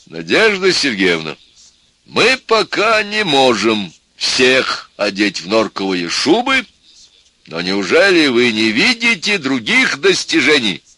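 A man's voice: medium pitch, huge pitch swings, slow, bright, forceful tone, no room sound, pitched above the performer's own voice, exaggerated voice. A man voicing a stern, sober-minded fellow in a story, firm, brusque and skeptical.

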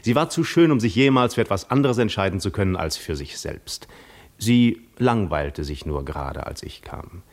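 Cunning Greek war veteran, low voice, measured, reflective, self-assured, articulate, 1950s radio play monologue. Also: male voice, expressive. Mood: musing, wry. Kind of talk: 1950s radio drama monologue, literary first-person narration.

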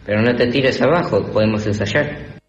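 A man's voice: on the low side, normal speed, over loud music, lightly acted. A young man with a Rioplatense Argentine accent playing a supportive, upbeat teenage best friend in an amateur radio drama. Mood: encouraging. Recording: echoey hall, muffled, clear noise.

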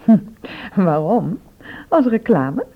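Lively, frank woman on thin recording. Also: mid-range voice, takes it slowly, full character voice. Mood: frank, concerned. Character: frank, sharp-tongued woman shopkeeper, helpful but wry. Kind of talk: radio drama acting. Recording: thin phone-like old radio recording.

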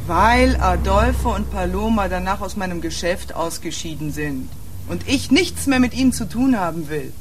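An actress playing a shrewd, self-assured nightclub owner with underworld ties in a radio crime drama. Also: female voice, mid-range voice, lively intonation, moderate pace, lightly acted. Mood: insistent. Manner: cool, self-assured, insolent. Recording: clear street and car ambience noise.

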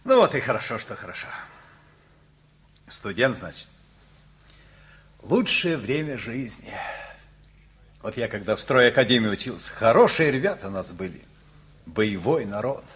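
Effusive, dreamy engineer, husky swooping voice. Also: male voice, mid-range voice, big pitch swings, normal speed, long pauses, fairly bright tone. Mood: hearty, reflective. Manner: rambling, musing, emphatic. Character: earnest middle-aged engineer uncle, effusive and dreamy, easily flustered. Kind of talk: Russian radio comedy play acting.

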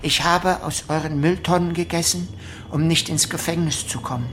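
A woman acting a bitter, defiant prisoner in a radio play, speaking in a measured, accusing, solemn way with pronunciation. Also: deep voice, fairly even intonation, very noisy, lightly acted. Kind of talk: dramatic radio play monologue. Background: music.

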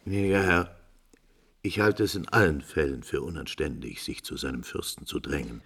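A man's voice: extremely low pitch, animated, normal speed, a bit bright, husky, heavily acted. An actor playing a proud, anguished middle-aged father in a 1950s German radio drama, stern and theatrical, bitterly holding back rage. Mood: stiff pride. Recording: vintage 1950s radio recording.

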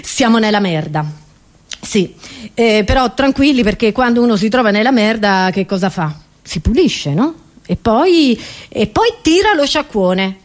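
A woman's voice: a little high, animated, measured pace. A woman comedian, delivering a satirical monologue in a theatrical, exclamatory way, speaking straight to her listeners.

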